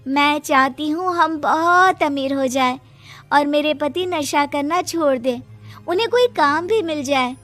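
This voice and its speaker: A slow, high-pitched young village wife, acted by a woman, meek and sorrowful. She pleads plaintively, as in a cartoon moral tale, with music playing behind her. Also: fairly bright tone. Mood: hopeful.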